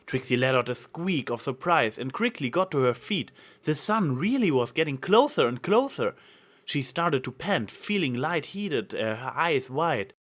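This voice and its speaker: A man's voice: fairly high pitch, big pitch swings, moderate pace, fairly bright tone. A man reading fan fiction aloud as a narrator, in a dramatic storytelling style.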